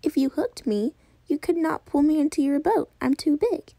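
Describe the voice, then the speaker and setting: A sly, boastful talking fish from a storybook, voiced by a woman reading aloud. It speaks in a teasing, high voice with a mellow tone, recorded close and dry. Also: moderate pace, dry recording.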